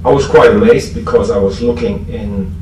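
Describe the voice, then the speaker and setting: A man's voice: fairly low pitch, moderate pace, a little mellow. German-accented man speaking in an echoey room. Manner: self-deprecating, dryly joking. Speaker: speaker at a recovery fellowship convention. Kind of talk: informal public speech recalling history. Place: echoey room before an audience. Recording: echoey, noisy room, distant microphone.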